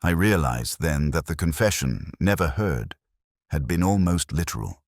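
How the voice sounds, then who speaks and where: Very deep-voiced brooding male detective played by an actor. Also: expressive, dry recording, studio quality, heavily acted. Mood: hushed, contemplative. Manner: measured, literary, introspective. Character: brooding, reflective male police detective narrating his case. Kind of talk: scripted first-person detective audio drama narration.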